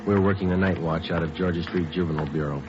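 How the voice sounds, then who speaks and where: A man voicing a deadpan, deep police detective. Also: racing, over music, lightly acted. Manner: clipped, flat, matter-of-fact. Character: terse police detective sergeant, all business. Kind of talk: 1940s radio acting. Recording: hissy muffled old radio broadcast.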